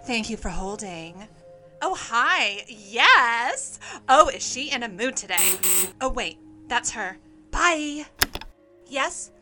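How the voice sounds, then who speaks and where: A chipper cartoon receptionist, played by a woman, using her polite phone voice. Loud music plays under her. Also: a little high, very animated, slow, full character voice. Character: chirpy woman receptionist at a therapy office.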